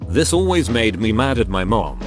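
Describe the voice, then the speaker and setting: A man's voice: fairly low pitch, very animated, moderate pace. A man narrating forum posts in a wry, scripted reading. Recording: clear music bed underneath.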